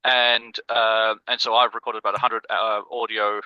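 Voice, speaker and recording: A man's voice: on the low side, little pitch movement, moderate pace, clean tone, no room sound. A man with an accent between New Zealand and English, explaining technical points in a casual online meeting. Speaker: community member in online meeting. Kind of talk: casual discussion. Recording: slightly muffled call audio.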